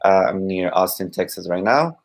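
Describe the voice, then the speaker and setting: Soft-spoken Costa Rican man. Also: sits low, expressive, creaky. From Costa Rica. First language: Spanish. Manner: halting, many fillers and restarts. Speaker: Spanish teacher, guest. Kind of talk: casual live-stream interview.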